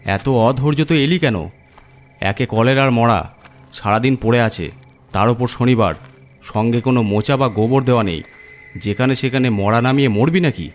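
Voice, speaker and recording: A man's voice: mid-range voice, normal speed, pauses often, close to an everyday voice. A man voicing a shrewd, bossy village man who scolds and commands in a horror cartoon story. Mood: scolding.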